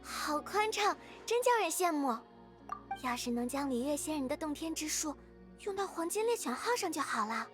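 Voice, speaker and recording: A woman's voice: high-pitched, very animated, normal speed, music in the background. A woman voicing a cheerful, friendly young female adventurer and naturalist who muses fondly in a video game.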